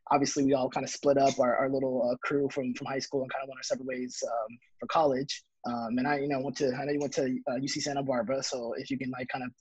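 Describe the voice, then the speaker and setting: A man's voice: medium pitch, flat delivery, fast talker, darkish tone, slightly rough, no room sound. A man co-hosting an interview in a casual, rambling way, asking loosely phrased questions over dull, lo-fi audio. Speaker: interviewer, co-host. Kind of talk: casual chat.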